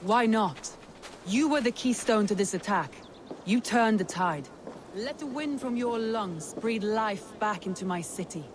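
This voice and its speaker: A woman voicing a proud, wary Viking clan leader in a video game, with deliberate, solemn delivery. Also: mid-range voice, expressive, noisy background, close to an everyday voice. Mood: triumphant, commanding. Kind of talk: cinematic video game acting.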